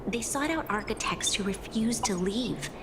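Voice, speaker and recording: A woman's voice: on the high side, lively intonation, normal speed, noisy background, close to an everyday voice. A woman voicing a dignified, burdened young ruler in a video game, formal, grave and sincere. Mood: grave, troubled. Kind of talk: scripted video game dialogue. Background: game music.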